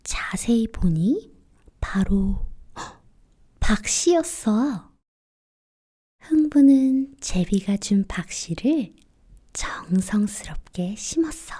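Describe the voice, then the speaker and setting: A woman narrating children's fairy tales in a soft, breathy, often near-whispered voice, with gentle, expressive phrasing for bedtime. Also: medium pitch, very slow, long pauses. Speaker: children's storyteller. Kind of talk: quiet bedtime fairy-tale narration in casual storytelling speech.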